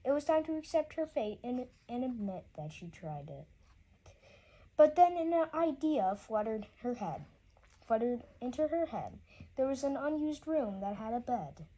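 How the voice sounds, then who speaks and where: A woman's voice: on the high side, very animated, measured pace, dark tone, poor audio. A woman reading a rhyming children's story aloud, often stumbling and repeating words as she goes.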